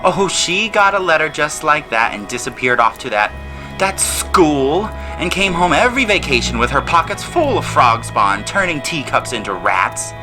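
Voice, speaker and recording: A man's voice: on the high side, sing-song, moderate pace, music in the background, voice pushed up, low-quality recording. A man voicing a bitter, resentful middle-aged woman in an audio drama, ranting shrilly with spiteful emphasis.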